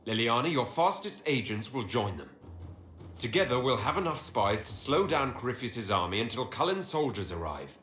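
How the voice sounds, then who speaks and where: An actor playing a resolute Inquisitor in a video game, speaking with firm, measured authority. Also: male voice, medium pitch, very animated, fairly bright tone. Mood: commanding, resolute. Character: commanding male Inquisitor, resolute military leader. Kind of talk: dramatic video game acting. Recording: roomy echo, faint music behind.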